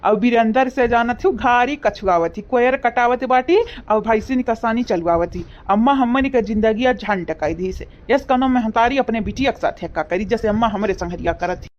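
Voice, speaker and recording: A woman's voice: fairly high pitch, moderate pace, few pauses, a little mellow, dull audio, lightly acted. A woman voicing a weary adult village husband in a cartoon, speaking with an Awadhi accent in a plaintive, grumbling way. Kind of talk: cartoon comedy voice acting.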